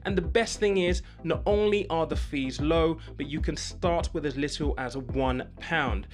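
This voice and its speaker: A man, a channel host, reading a scripted sponsor message in a smooth delivery. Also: on the high side. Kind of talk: read sponsor segment. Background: music.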